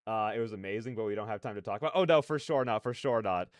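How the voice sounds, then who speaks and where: A man's voice: on the low side, fast talker, no echo, clean studio sound. A man hosting a livestream, chatting casually and animatedly in slangy, rambling opinions. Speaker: livestreamer, reaction host. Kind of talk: casual livestream chat with viewers.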